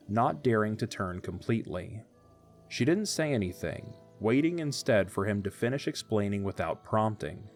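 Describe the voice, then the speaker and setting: A man narrates a romantic adventure story in a calm, measured way. His voice sits a little low. Soft background music plays faintly throughout. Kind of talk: scripted audio drama narration.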